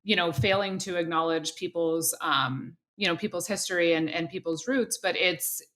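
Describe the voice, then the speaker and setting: A woman hosting an interview podcast, her voice a little low and notably bright. She reasons aloud thoughtfully, leaning on "you know" and restarting phrases as she goes. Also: steady tone, moderate pace. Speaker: podcast host.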